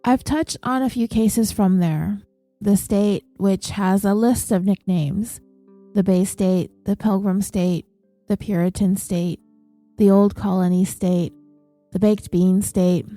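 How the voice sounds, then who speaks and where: A woman's voice: mid-range voice, takes it slowly, no room sound, soft background music. Congested woman hosting a true crime podcast. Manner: reads ads and listener names in an even, steady delivery. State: congested, a little nasal. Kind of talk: scripted podcast narration.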